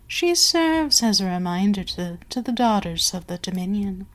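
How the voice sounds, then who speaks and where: A woman playing an imperious, seductive and menacing vampire queen in an ASMR audio roleplay, haughty and deliberate, with some words sinking into a whisper. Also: medium pitch, expressive, fairly bright tone, lightly acted. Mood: smug, gloating. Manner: slow, deliberate, haughty, commanding, dropping into whispers.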